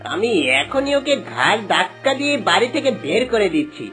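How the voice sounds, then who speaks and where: Wildly swooping, bullying husband. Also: female voice, fairly high pitch, big pitch swings, brisk, fairly bright tone. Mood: scornful. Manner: scolding, domineering, exclamatory. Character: greedy, cruel, bullying village husband. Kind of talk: melodramatic cartoon acting. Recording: music bed under voice.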